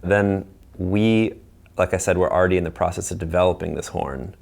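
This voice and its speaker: Very deep-voiced man with vocal fry. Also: moderate pace, a little mellow. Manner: explanatory, informal. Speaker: audio designer. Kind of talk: casual technical conversation.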